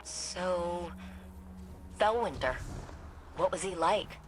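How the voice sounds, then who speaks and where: An actress playing an earnest, curious scientist and ally in a video game, speaking in an intent, natural, conversational way. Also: female voice, fairly low pitch, very slow, a little hiss or hum, poor audio. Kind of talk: dramatic game dialogue.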